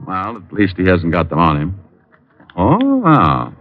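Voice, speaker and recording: An actor playing a cool, wisecracking private detective who also narrates, in an old radio drama. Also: male voice, low-pitched, huge pitch swings, exaggerated voice. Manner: dry, teasing and unhurried. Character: wisecracking male private eye, cocky and cool. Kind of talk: 1950s radio drama acting. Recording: muffled old radio broadcast.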